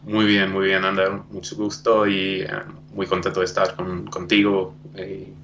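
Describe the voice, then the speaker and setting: A man's voice: low-pitched, completely flat delivery, a little slow, a bit bright, dull audio, a little hiss or hum. A native English-speaking man with an Argentine-tinged Spanish accent, talking as a documentary producer, hesitant and searching for words. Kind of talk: remote podcast interview. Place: home studio.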